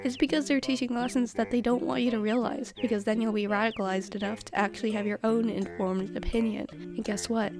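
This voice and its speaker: A woman's voice: a little high, fairly even intonation, a little fast, hardly any pauses. A teenage girl with a stuffy nose, giving scripted commentary in a chatty, self-mocking, emphatic way. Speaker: youtuber. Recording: music playing behind.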